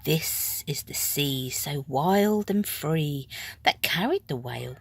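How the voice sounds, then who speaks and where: A woman reading a rhyming children's picture book aloud in a dramatic way, at times dropping to a hush and drawing words out. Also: low voice, expressive, breathy edge, no room sound. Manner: dramatic, with hushed whispered phrases and drawn-out words. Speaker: storybook reader. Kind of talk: expressive children's picture-book narration in rhyme.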